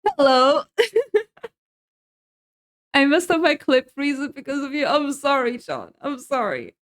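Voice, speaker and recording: A young woman with a high, light voice chats with her viewers as she cooks at home. She, laughs easily, and answers chat messages as they come in. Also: lively intonation, a little slow. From Sweden. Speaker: streamer. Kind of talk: casual chat while cooking on stream.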